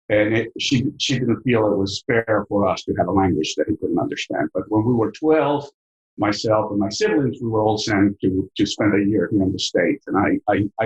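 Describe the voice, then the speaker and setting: Low-voiced Mexican man, roomy sound. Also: muffled recording. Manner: thoughtful, many fillers and repeated words. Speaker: business school professor, guest. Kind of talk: podcast interview. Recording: echoey room.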